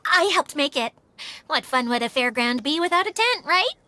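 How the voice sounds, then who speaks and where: Game role: high, swooping voice for a meek, weepy young mascot who swings to bold outbursts. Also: female voice, animated, moderate pace, pushed and bright, no room sound. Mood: indignant. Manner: flustered, melodramatic, swings between meek and bold. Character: dramatic young woman mascot, timid and quick to cry. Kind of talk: game cutscene voice acting.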